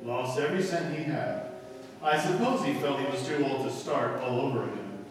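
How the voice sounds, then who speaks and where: A man's voice: mid-range voice, moderate pace, natural voice. Man acting evasive husband, distant and echoing. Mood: dry. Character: unfaithful husband, evasive, curt with his wife. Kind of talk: 1940s radio mystery acting. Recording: echoey hall, far from mic, music.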